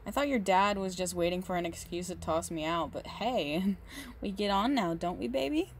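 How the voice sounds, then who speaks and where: A woman playing a big, muscular goth girlfriend, possessive and unhinged yet doting, in an intimate, halting ASMR roleplay monologue. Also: fairly low pitch, a little hiss or hum, lightly acted. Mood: teasing, affectionate. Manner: slow, halting, doting, menacing under sweetness.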